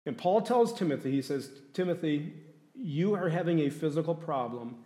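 A man's voice: fairly high pitch, expressive, normal speed, warm tone. A male pastor preaching a sermon in a conversational way, often asking the congregation questions. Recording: echoey room.

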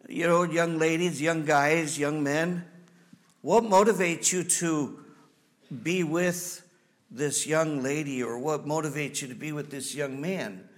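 A man in his fifties with a Wisconsin accent, a missionary giving a folksy, halting church presentation full of ums. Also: fairly high pitch. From Wisconsin, USA.

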